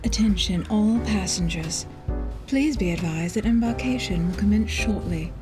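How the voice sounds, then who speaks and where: An actress playing a polite port announcer in a fantasy radio play, giving formal public address notices. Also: female voice, mid-range voice, normal speed, music in the background, lightly acted. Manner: formal, courteous.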